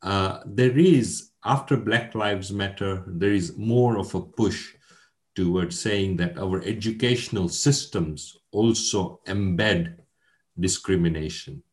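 Deep-voiced older man, measured and earnest. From Kenya. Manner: measured, earnest, with frequent hesitations. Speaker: guest, education academic. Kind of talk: conversational interview.

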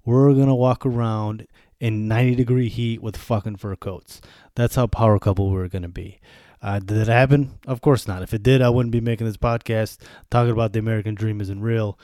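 A man's voice: lowish voice, steady tone, brisk, dark tone, no room sound, studio quality. A man of thirty hosting a solo podcast, venting candidly in a sardonic, freely swearing way. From born in Germany to Bosnian refugees, raised in the US. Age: 30s. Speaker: podcast host.

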